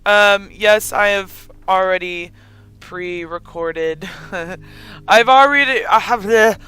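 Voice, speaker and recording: A gaming host with a hoarse, raspy, squeaky voice, chatting casually over gameplay with a lot of giggling and rambling ums. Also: sharp tone. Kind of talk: casual let's-play commentary.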